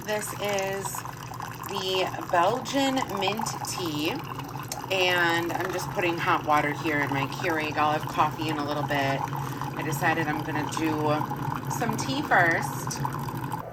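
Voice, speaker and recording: A woman chatting casually to her camera as a home vlogger, a bit stuffy-nosed, rambling along with soft asides. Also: on the low side, slow, heavy background noise. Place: kitchen at home, indoors.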